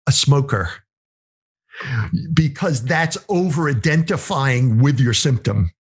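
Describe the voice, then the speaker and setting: A man's voice: mid-range voice, expressive, takes it slowly, husky. A man co-hosting a casual podcast, musing aloud, asking questions and teasing his co-host. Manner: musing, asks questions, teases.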